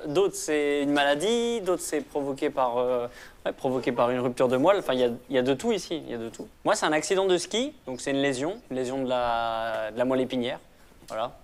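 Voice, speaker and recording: A man in his thirties giving a calm personal account in an interview, speaking in a measured way with pauses. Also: mid-range voice. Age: 30s.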